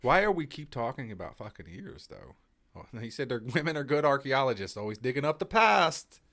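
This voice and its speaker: A man's voice: medium pitch, sing-song, fairly quick, fairly bright tone. A man co-hosting a casual live-stream chat, swearing freely, joking around and ranting heatedly. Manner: profane, joking, heated rants. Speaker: co-host.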